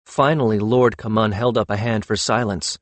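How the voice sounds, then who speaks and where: A man's voice: lowish voice, fairly even intonation, moderate pace, no echo. A man reading a scripted fantasy audiobook as narrator.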